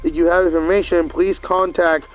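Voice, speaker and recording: A man's voice: fairly high pitch, flat delivery, moderate pace. A man giving a casual, rambling video review, recapping with hesitant fillers and restarts.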